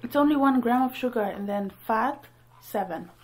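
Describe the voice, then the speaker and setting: A woman's voice: a little high, moderate pace, poor audio. A woman in her twenties hosting a casual eating chat, chatty and laughing often. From Sweden. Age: 20s. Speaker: mukbang host.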